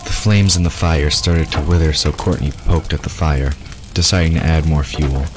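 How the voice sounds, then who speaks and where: A man's voice: extremely low pitch, fairly even intonation, moderate pace, music in the background. A man reading scripted fiction as the narrator of an audio drama.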